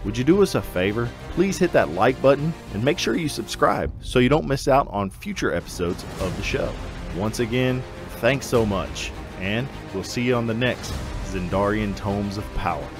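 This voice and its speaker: A man's voice: fairly low pitch, animated, normal speed. A man, the creator of a show, addressing listeners in a scripted outro. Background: clear music.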